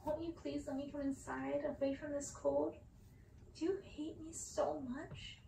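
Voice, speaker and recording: A woman's voice: sits high, little pitch movement, unhurried, vocal fry, mellow, roomy sound, distant mic, slight background noise, low-quality recording. A woman voicing a small, pitiful, heartbroken young woman who pleads in a wounded way in an ASMR storybook reading. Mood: pleading, hurt. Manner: imploring, wounded.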